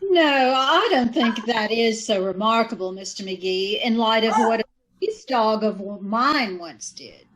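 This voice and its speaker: A woman playing a genteel, haughty society lady in old-time radio comedy, speaking with measured formality. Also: fairly high pitch, expressive, a crowd in the background, rough recording. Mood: haughty, unimpressed.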